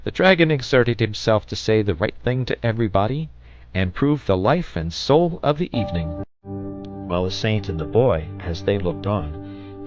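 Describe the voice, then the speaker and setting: A man reading a children's story aloud as an audiobook narrator, in an expressive storybook style. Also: lowish voice. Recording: dull, lo-fi audio.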